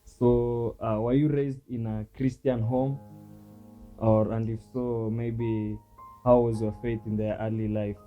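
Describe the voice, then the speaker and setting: A man's voice: mid-range voice, little pitch movement, slow pace, dull audio, faint music behind. A man hosting a studio interview, putting polite questions to his guest. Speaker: interview host.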